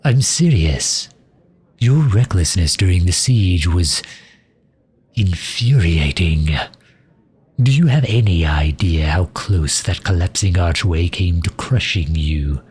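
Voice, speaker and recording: Casting: male actor as a loyal fantasy mage advisor, extremely low voice, slow and dryly cutting, for roleplay audio. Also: animated, a little mellow, breathy edge, heavily acted. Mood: exasperated. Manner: dry, sardonic, drawn-out delivery with sighs. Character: loyal, sharp-tongued male mage advisor to a demon ruler. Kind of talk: ASMR roleplay audio drama.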